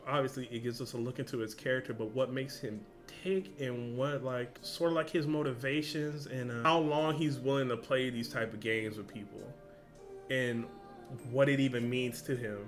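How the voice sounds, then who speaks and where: A man's voice: mid-range voice, moderate pace, soft background music. A man with a casual, slangy, informal way of talking, hosting a reaction video and reading along.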